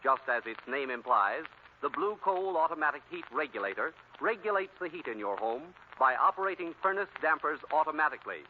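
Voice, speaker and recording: Lively 1940s radio announcer man, tinny sound. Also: a little high, a little fast, slight background noise. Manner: emphatic, salesmanlike. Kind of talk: 1940s radio advertising read.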